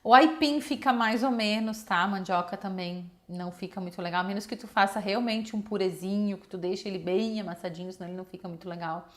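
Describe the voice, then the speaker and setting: A woman's voice: mid-range voice, fast, hardly any pauses. A woman with a southern Brazilian accent giving casual, conversational advice to viewers, often adding little checking tags. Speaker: children's nutritionist giving advice. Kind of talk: casual explanatory talk to viewers.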